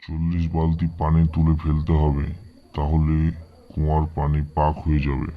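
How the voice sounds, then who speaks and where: A man's voice: a bass voice, monotone, moderate pace. A man voicing an authoritative village imam in an amateur cartoon, giving instructive pronouncements. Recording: dull lo-fi sound.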